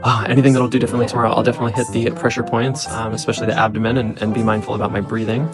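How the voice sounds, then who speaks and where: A man's voice: medium pitch, level tone, rapid-fire. A man giving a casual testimonial as a workshop attendee. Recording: loud music over the voice.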